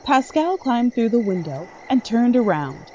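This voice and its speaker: A woman's voice: on the high side, big pitch swings, unhurried, a little mellow, dull audio, slight background noise. A woman reading scripted dramatic narration as the narrator of a story.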